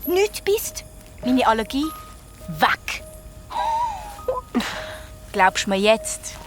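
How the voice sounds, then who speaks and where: A woman playing an excitable young twin girl detective with a Swiss German accent, bossy but caring, in a children's audio drama. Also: a little high, very slow, music in the background, exaggerated voice. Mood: excited, delighted.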